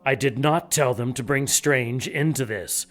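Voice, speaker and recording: An actor playing a cold, scheming male monk who speaks in a smooth, condescending way. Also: medium pitch, unhurried, a bit bright, lightly acted.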